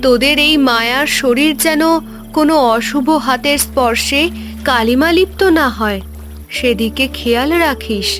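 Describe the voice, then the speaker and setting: A woman playing a gentle magical maiden in a fairy-tale cartoon, speaking tenderly and solemnly. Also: high voice, moderate pace. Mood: gently solemn. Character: gentle, magical glowing maiden risen from water. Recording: music behind the voice.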